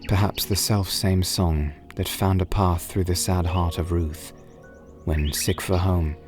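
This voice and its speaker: Deep voiced guy reading old poetry real slow, solemn and wistful, music and birds behind him. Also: steady tone. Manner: solemn, measured, wistful delivery with drawn-out phrases. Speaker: poetry reader and narrator.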